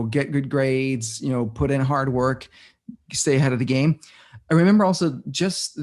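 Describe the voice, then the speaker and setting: A man's voice: mid-range voice, a little mellow. A man from Denmark, a guest in a casual interview, thinking aloud with many restarts. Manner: thinks aloud, with frequent restarts and searching for words.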